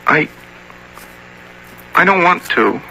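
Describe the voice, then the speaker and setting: An actor in a 1970s radio drama playing an earnest, hesitant boy who loves nature, with a halting, stammering delivery. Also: fairly high pitch, animated, sharp tone, slight background noise, exaggerated voice, poor audio. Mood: hesitant, uneasy. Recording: old radio broadcast with music.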